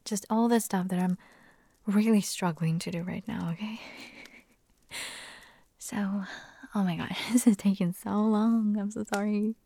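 A woman whispers in a slow, unhurried ASMR style, her voice raspy and breathy from nearly losing it. The sound stays soft and mellow rather than bright. She laces her talk with giggles and self-deprecating, chatty remarks. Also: mid-range voice, steady tone, no echo. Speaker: ASMR creator.

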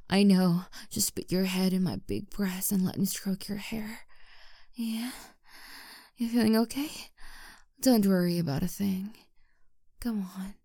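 A woman playing a doting, nurturing mature girlfriend in an intimate adult audio roleplay, with a breathy, cooing delivery. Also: a little high, no room sound, studio quality. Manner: breathy, cooing, pet-name-laden intimate address.

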